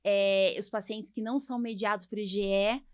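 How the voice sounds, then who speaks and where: A woman's voice: medium pitch, fairly even intonation, normal speed, background noise. A Brazilian woman, a doctor hosting a podcast, explains things in a chatty way and often checks in with tag questions. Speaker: podcast host, allergist doctor. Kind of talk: casual podcast conversation.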